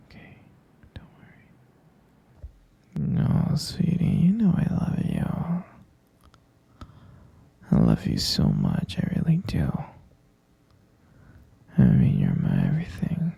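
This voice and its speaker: A man voicing an obsessive, possessive young captor in an ASMR roleplay, whispering softly and calling the listener pet names. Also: fairly high pitch, dry recording, full character voice. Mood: pleading, possessive. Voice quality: gravelly whisper.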